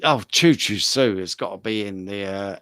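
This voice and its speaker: A man with a British accent telling casual, cheeky stories, often halting and repeating himself. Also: on the low side, fairly bright tone, no room sound, studio quality. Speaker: talk show regular. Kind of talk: casual bawdy storytelling.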